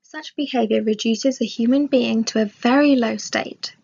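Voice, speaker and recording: A woman reading a scripted religious study aloud in a measured, formal way. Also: fairly high pitch, fairly even intonation, vocal fry, darkish tone, husky. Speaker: reader of religious study text. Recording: dull, lo-fi sound.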